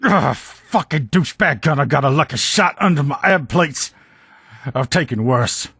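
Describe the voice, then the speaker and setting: A man voicing a gruff, loyal military lieutenant in a video game, with blunt, profane, folksy soldier talk. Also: on the high side, lively intonation, moderate pace, a bit bright, no room sound, clean studio sound, exaggerated voice. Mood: pained, determined. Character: gruff, loyal military lieutenant in power armor, protective comrade. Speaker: voice actor in a game mod. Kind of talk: video game character dialogue lines.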